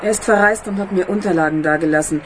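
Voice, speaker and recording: Female actor, guarded go-between, slightly low pitch, businesslike, composed, faint noise, radio drama. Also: quick pace, lightly acted. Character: composed, discreet female go-between passing on messages. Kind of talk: radio drama phone dialogue.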